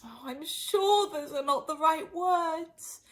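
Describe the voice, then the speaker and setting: A woman's voice: extremely high pitch, slow pace, fairly bright tone, pitched above the performer's own voice, heavily acted. A woman voicing an earnest, muddled young girl who frets and scolds herself in a storybook reading. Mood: tearful, resolute.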